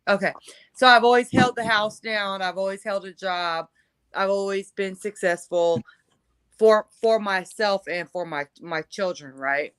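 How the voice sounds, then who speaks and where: A woman's voice: mid-range voice, a little slow, fairly bright tone, clean tone, no room sound. A woman in her forties telling her personal story as an interview guest, conversational, emphatic and self-assured. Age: 40s.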